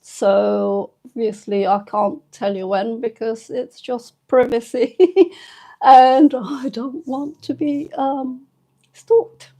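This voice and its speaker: A woman in her fifties chats with her viewers in an unhurried, fairly high voice. She sounds emotional and a little teary, and her soft, hesitant delivery is full of pauses and fillers. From United Kingdom. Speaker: channel host. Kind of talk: casual live-stream chat with viewers.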